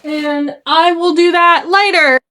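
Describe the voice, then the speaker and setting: A young woman from Arkansas with a Southern accent and a slight lisp, chatting casually in a makeup vlog, self-deprecating and quick to laugh at her own slips, filmed on a phone at home. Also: very high-pitched, steady tone, sharp tone. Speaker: makeup vlogger. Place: at home, seated. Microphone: phone camera.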